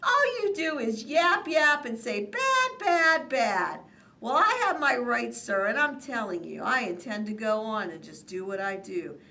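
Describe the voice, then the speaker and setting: A stubborn, greedy factory owner, played by a woman reading aloud yells defiantly in a raised, bright voice. He sounds loud and pushy in this storybook moment. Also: fairly high pitch, lively intonation, normal speed, creaky, far from the mic. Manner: yelling defiantly.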